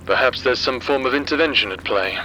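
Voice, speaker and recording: A man playing an earnest, reserved private investigator in an anime roleplay audio drama, speaking in measured, formal phrases. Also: mid-range voice, pushed and bright, faint music behind, lightly acted, rough recording. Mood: pondering. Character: earnest male private investigator, reserved, secretly fond of client.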